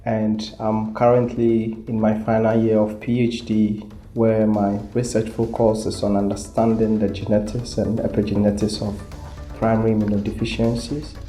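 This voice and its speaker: Flat-voiced man from Ghana. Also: fairly low pitch, moderate pace, faint music behind. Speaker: PhD student interviewee. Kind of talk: casual explanatory account.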